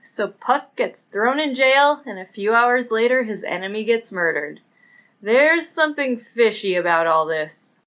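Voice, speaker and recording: A woman voicing a sharp female police inspector in an audiobook, asking quick, incredulous questions. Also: a little high, lively intonation, dull audio. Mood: brisk. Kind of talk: audiobook character reading.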